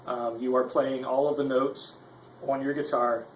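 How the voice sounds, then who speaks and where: A man's voice: medium pitch, flat delivery, a little slow. A man giving an informal, unscripted gear demonstration in a rambling, self-deprecating, conversational way, as the presenter of a guitar tutorial. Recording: echoey room with faint noise.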